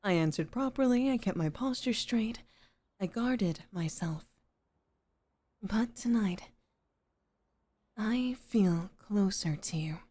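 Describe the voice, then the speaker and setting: Slow, soft-spoken, composed maid girlfriend played by a woman. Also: mid-range voice, animated, slightly rough. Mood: affectionate, intimate. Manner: soft, measured, formal, often dropping toward a whisper. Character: composed, formal head maid, softly devoted new girlfriend off duty. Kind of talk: ASMR girlfriend roleplay, intimate and scripted.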